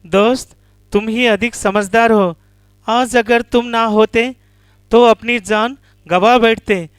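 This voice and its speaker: A man's voice: extremely high pitch, steady tone, slow, clear, resonant tone, no echo, soft background music, voice pushed up. A man voicing a cunning, vain female fox in a children's animal story, bragging and argumentative. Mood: humbled.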